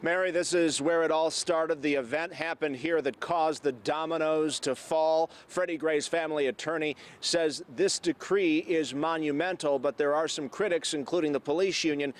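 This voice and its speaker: A man's voice: fairly high pitch, normal speed, hardly any pauses. A man working as a TV reporter, delivering a scripted news report.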